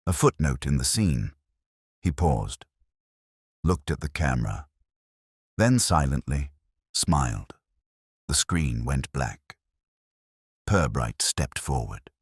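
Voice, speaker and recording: A man's voice: a bass voice, big pitch swings, slow, pauses often, slightly rough, dry recording, studio quality. A man narrating a scripted mystery story.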